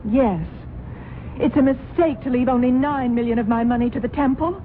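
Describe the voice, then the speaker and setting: An actress playing a wealthy, naive wife in an old radio drama, sweet at heart but quick to turn indignant. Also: female voice, on the high side, slight background noise, natural voice. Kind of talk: 1970s radio drama acting. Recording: tinny old radio broadcast.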